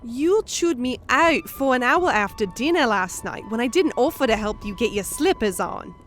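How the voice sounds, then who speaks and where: A woman's voice: on the high side, expressive, normal speed, a bit bright, faint music behind. A woman playing a blunt, angry servant who scolds and complains in a fantasy audiobook. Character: Makarat, grumbling, angry female servant, blunt-tongued. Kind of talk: fantasy audiobook dialogue over music.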